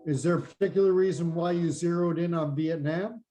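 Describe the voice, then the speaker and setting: A man co-hosting a podcast and interviewing a guest. His voice sits a little higher than average. He asks his questions in halting fits and restarts them often. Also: steady tone, mellow. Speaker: podcast co-host.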